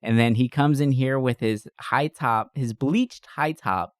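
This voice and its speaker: A man's voice: mid-range voice, measured pace, clear, resonant tone, dry recording, studio quality. A man co-hosting a podcast in an enthusiastic, informal chat, swearing casually. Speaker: podcast co-host. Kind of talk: casual chat about movies.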